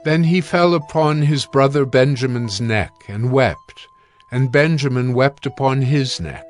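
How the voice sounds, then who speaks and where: Male narrator: solemn, measured scripture reading, mid pitch. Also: soft background music.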